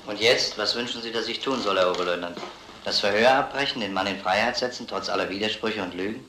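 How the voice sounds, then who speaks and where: An actor playing a skeptical police officer, asking pointed questions in an old crime film. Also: male voice, on the low side, fast talker, bright, forceful tone. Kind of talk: vintage German crime film acting. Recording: roomy, noisy old film soundtrack.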